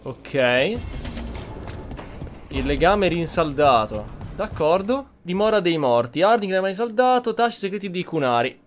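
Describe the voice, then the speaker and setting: High-voiced Italian man commenting on a game. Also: big pitch swings, normal speed, soft background music. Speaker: game streamer, host. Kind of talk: casual gameplay commentary with roleplay.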